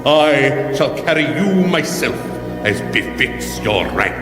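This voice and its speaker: Casting: a lordly eagle chief, pompous and measured, radio fantasy comedy, drenched in reverb with music behind. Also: male voice, mid-range voice, very animated. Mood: pompous, commanding. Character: stately male eagle chieftain, grand and formal. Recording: echoing reverb over loud music.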